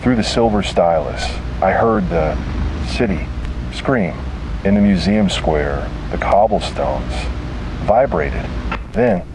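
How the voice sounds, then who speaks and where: A man reading a scripted horror narration in a grave, halting, dramatic way with heavy pauses. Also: low-pitched, expressive, noisy background.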